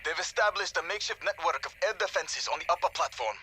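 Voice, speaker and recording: A man playing a brisk soldier giving a military radio briefing in a video game. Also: on the high side, lively intonation, sharp tone. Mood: urgent, businesslike. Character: brisk male soldier reporting enemy positions. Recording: noisy radio and vehicle din.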